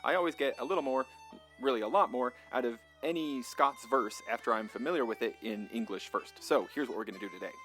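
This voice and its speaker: A man's voice: medium pitch, fast talker, a bit bright. A man hosting a podcast, chatting casually with lots of ums and self-deprecating asides. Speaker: podcast host. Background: faint bagpipe and whistle music.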